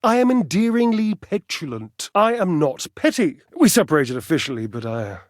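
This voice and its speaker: An actor playing a vain, athletic talking appliance in a dating game, pompous and petulant, with flamboyant, condescending, wordy delivery. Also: male voice, a little high, big pitch swings, moderate pace, no room sound, studio quality. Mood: wistful, petulant. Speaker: video game character actor. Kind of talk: theatrical dating-game character acting.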